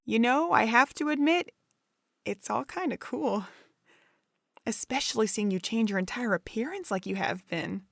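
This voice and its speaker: A woman's voice: a little high, expressive, moderate pace, vocal fry, dry recording. Woman playing a curious, hesitant young woman, dry sound. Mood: intrigued. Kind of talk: fan audio drama acting.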